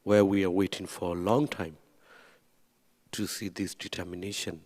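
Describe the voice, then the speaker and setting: A man's voice: low-pitched, little pitch movement, moderate pace, darkish tone. A man from Burma, speaking earnestly on a panel and repeating phrases for emphasis. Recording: reverberant hall.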